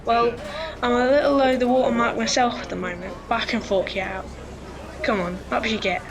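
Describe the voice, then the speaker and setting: A woman's voice: fairly high pitch, steady tone, brisk, lightly acted. A woman playing a cheeky, streetwise young pickpocket boy with a London accent in an audio drama, cocky and friendly.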